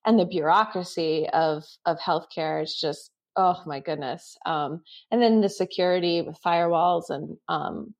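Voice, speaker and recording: A woman's voice: on the low side, a little slow, hardly any pauses, highs cut off. A woman in her thirties, a company founder, answering interview questions with enthusiasm and frequent ums. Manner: enthusiastic, lots of fillers. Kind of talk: casual interview chat.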